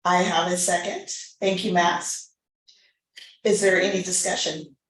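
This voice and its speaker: Low-pitched woman chairing, echoey room. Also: steady tone, unhurried, a bit bright. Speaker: meeting chair. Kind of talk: running a formal board meeting, conversational.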